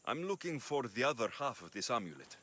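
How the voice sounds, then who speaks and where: A man's voice: medium pitch, very animated, fairly quick, bright, forceful tone. A man playing a determined young mercenary hero of ancient Greece in a video game, blunt and resolute. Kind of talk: video game cutscene acting. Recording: music behind the voice.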